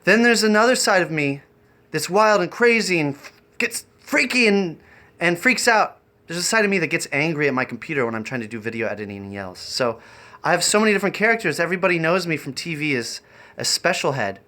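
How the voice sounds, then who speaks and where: Man, slightly high voice, very bright and forceful, eccentric, halting, improvised livestream chat. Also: lively intonation. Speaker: live streamer and variety performer.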